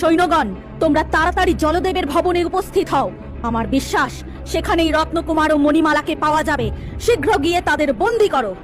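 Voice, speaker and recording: A jealous, domineering water queen for a cartoon. She speaks in a high voice and gives sharp, commanding orders over background music. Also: brisk. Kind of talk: cartoon voice acting.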